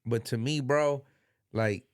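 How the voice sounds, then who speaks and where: A man's voice: mid-range voice, slow, smooth, no room sound, studio quality. A middle-aged man hosting a podcast in a loose, rambling way, congested and full of slang and fillers. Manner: rambling, filler-heavy, laid-back slang. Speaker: podcast host. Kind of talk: casual chat.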